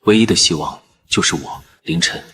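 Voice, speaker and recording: A man narrating in the first person as a calm surgeon, in measured scripted narration. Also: deep voice. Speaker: narrator and lead character, a surgeon.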